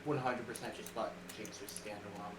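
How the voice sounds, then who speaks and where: A man's voice: fairly low pitch, fairly even intonation, brisk. A man with a nasal, stuffy voice chatting casually on a call, rambling with lots of fillers. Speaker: co-host on a call. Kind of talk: casual chat. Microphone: old webcam microphone. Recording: distant echoey webcam mic with noise.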